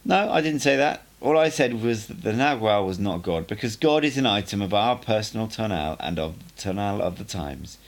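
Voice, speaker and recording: A playful old sorcerer, put on by a man reading aloud, lectures his student with patient relish. His voice is low and gravelly, and it swings up and down with animated emphasis. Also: normal speed, few pauses. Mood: explaining. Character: old male sorcerer teacher, playful, rhetorical. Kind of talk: audiobook character dialogue.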